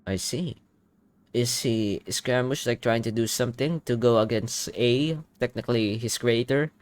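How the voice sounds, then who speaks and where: Excitable young man reacting to a game. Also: medium pitch, normal speed, clear, resonant tone. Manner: excitable, thinking aloud, casual swearing. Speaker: gaming YouTuber. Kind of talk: casual game reaction commentary. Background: game music.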